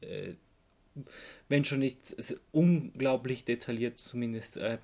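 A man co-hosting a casual podcast, speaking in a halting, hesitant way. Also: medium pitch, vocal fry, a little mellow. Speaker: podcast co-host.

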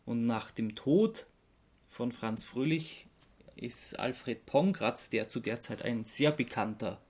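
A podcast host talks in a casual, conversational way. The voice sits at a middle pitch. The delivery is halting, with searches for the right word, and has an easily amused manner. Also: expressive, a little mellow.

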